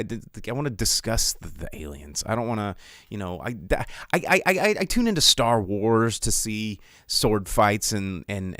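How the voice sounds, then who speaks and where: An American man hosting a live film talk show, chatting casually with viewers in an animated, opinionated way and repeating words for emphasis. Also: lowish voice, dry recording, studio quality. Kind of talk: casual livestream chat with viewers.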